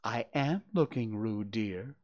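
A storybook reader voicing a brisk, motherly female kangaroo, teasing and firm, by turns soothing and mock-severe. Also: mid-range voice, sing-song, a little mellow, dull audio, pitched above the performer's own voice. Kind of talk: children's storybook character voice.

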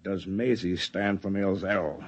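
A man's voice: deep voice, level tone, unhurried, slightly rough, muffled recording. An actor playing a fat, bullying, conceited con man with a domineering, sardonic manner in 1950s radio drama style. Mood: brusque, scheming.

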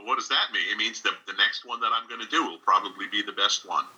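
A man from New York talks as an interview guest on a video call. His voice is on the low side, with a very sharp, bright edge and some rasp. He answers conversationally, in plain, encouraging terms. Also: quick pace, poor audio. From New York, United States. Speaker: interview guest, radio drama director and instructor.

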